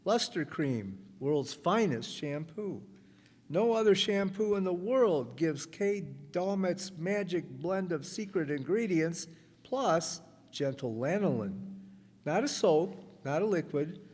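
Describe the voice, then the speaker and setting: In a reverberant hall, a man plays a polished announcer in the old radio style. His voice is high for a man, and he delivers introductions and sales copy at an unhurried pace. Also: expressive, a little mellow. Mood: smooth selling. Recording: echoey hall stage recording.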